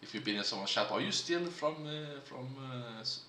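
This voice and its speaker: A man's voice: mid-range voice, expressive, normal speed, bright, forceful tone. A man streaming a game, thinking aloud to himself and chatting casually with his stream chat. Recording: echoey room with faint noise.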